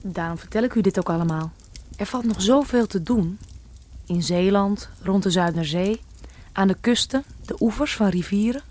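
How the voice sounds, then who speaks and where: Husky woman playing an island storyteller. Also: medium pitch, normal speed, darkish tone, close to an everyday voice. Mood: recounting. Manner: earnest, recounting. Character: island woman recalling her youth.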